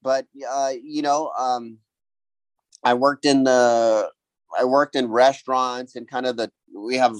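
A man from Hawaii, a guest on a podcast, talking about his life in a hesitant, reflective way with many pauses and restarts. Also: mid-range voice, fairly even intonation, dry recording, rough recording. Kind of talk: casual interview.

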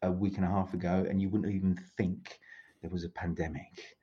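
A man's voice: low voice, flat delivery, brisk. Rambling British man on a lo-fi call. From England. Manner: rambling, many restarts and self-corrections. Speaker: podcast guest, speaking coach. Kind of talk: casual interview chat over video call. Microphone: video call.

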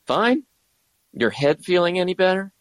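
Animated teasing teenage boy. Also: high voice, normal speed, no echo. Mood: dryly casual. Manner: casual, teasing. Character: teasing, protective teenage high school boy. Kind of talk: naturalistic audio drama acting.

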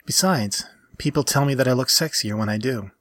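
A man's voice: medium pitch, a little fast, fairly bright tone, no echo, voice pushed up, lightly acted. A man voicing a tired, affectionate skunk-like woman in a story reading. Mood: defensive.

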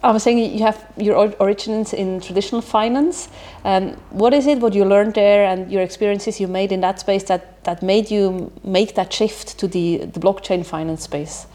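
A woman's voice: mid-range voice, steady tone, normal speed, few pauses, dark tone. A woman hosting an interview, asking friendly questions and often nodding along with short interjections. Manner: friendly, frequent affirming interjections. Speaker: interview host.

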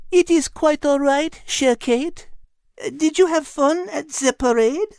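A man voicing a refined, gentle female French cat with a French accent, in a storybook narration. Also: very high-pitched, unhurried, dry recording, studio quality, voice pushed up. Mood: warm, gracious. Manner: genteel, soft-spoken, sprinkles French words.

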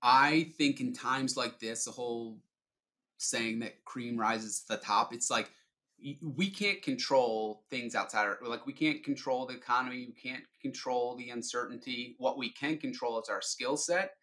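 A middle-aged man speaks as an interview guest with a bright, forceful voice at an ordinary pitch. He explains his points informally, often setting up a question before answering it. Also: moderate pace. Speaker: sales leader, podcast guest.

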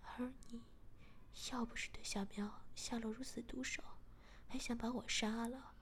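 A woman voicing a calm, domineering young man in a whispered storybook reading. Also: on the high side, slight background noise, close to an everyday voice. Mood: cold, controlling. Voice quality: whispered, measured.

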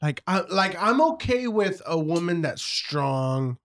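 A married father in casual chat, teasing and halting, often rephrasing himself. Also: fairly high pitch, very animated. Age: adult, married father.

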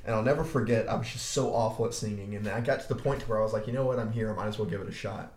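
A young man with a deep voice, low in pitch, talking in a relaxed way. He circles back and repeats himself as the stories unfold. The sound is roomy, with a clear echo. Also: very fast, a little hiss or hum. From Arkansas, USA. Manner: laid-back storytelling, frequent restarts and swearing. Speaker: podcast co-host. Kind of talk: casual chat. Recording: echoey room.

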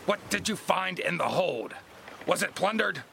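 An actor playing a harsh, domineering pirate sea captain in an audio drama, gruff and threatening. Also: male voice, sits high, lively intonation, unhurried, sharp tone, slight background noise, heavily acted. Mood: menacing, demanding. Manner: gruff, commanding, threatening, sneering.

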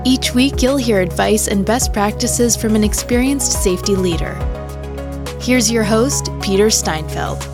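A woman's voice: medium pitch, measured pace. Woman announcer over music. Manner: polished, even announcer delivery. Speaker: podcast announcer. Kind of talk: scripted intro announcement. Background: music bed.

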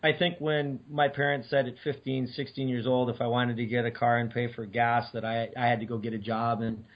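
A middle-aged man from Detroit, hoarse and scratchy from a cold, answering interview questions with many fillers. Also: mid-range voice, a little fast. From Detroit, Michigan. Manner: relaxed, many uh fillers and restarts. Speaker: guest business owner. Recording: dull lo-fi remote audio.

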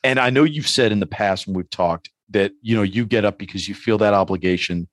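A man's voice: lowish voice, brisk, no echo. A middle-aged man hosting a podcast, talking casually in long, rambling, philosophical asides. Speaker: podcast host.

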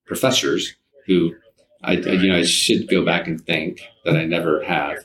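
Deep-voiced middle-aged man. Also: level tone, normal speed, fairly bright tone, low-quality recording. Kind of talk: casual personal storytelling in an interview.

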